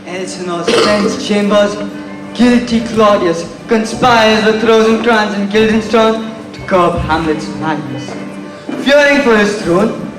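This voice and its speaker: High-pitched male stage narrator, echoey. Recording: echoey sound with music.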